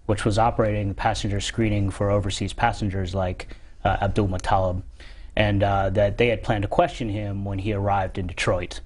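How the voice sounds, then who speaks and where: Male studio guest, expert type, lowish pitch, careful and hesitant interview delivery. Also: little pitch movement. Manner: measured, hedging, halting. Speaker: guest expert on a security call-in show. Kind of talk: interview answers to callers.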